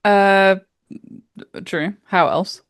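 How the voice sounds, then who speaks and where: A woman's voice: medium pitch, steady tone, very slow, clear, resonant tone, dry recording, studio quality. A woman co-hosting a podcast in casual chat, pressing her questions again and again, thinking aloud with many hesitations. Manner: probing, keeps pressing questions. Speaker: podcast co-host.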